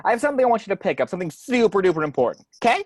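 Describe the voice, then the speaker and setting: Guy doing a spoiled flamboyant gangster boss, voice swoops everywhere, raspy, on a dry video call. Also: high-pitched, sing-song, no room sound. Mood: bossy. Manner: theatrical, drawn-out, shrieking when crossed. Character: flamboyant, spoiled, volatile male crime boss. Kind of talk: amateur table read over video call.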